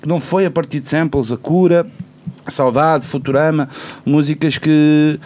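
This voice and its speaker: A man's voice: on the high side, steady tone, normal speed, a little mellow. A man, a musician explaining things casually in an interview, often repeating words as he goes. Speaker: musician, band member being interviewed.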